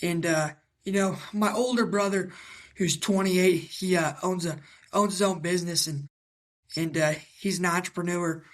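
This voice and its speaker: A man in his twenties from Iowa with a raspy voice, answering interview questions in a halting, casual way with many fillers. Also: high voice, fairly even intonation. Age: 20s. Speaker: guest, young cattle breeder.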